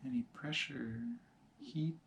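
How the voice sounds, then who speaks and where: A man teaching meditation, giving calm, measured instructions in short phrases with pauses between them. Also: medium pitch, creaky, slight background noise, low-quality recording. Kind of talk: guided meditation, slow spoken instructions.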